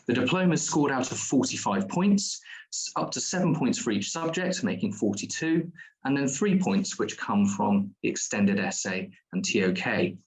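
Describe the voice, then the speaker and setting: A man's voice: mid-range voice, expressive, moderate pace, poor audio. A man presenting a school's diploma courses at an online open day, explaining at length with frequent ums and restarts.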